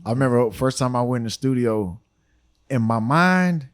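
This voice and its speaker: A middle-aged man, chatting casually as a podcast guest, relaxed and full of "you know what I'm saying". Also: medium pitch, moderate pace, clear, resonant tone, no echo, studio quality.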